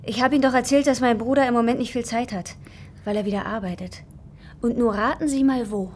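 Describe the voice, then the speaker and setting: A woman's voice: on the high side, fairly even intonation, a little fast, a little mellow, slight background noise, lightly acted. An actress playing a young mother, polite and resolute under worry, in a naturalistic radio drama. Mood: worried. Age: young adult.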